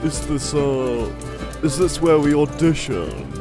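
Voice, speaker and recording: An actor playing a big, shy, awkward man with a halting, polite manner in a comedic fantasy audio drama. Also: on the high side, big pitch swings, over music, full character voice. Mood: timid, awkward.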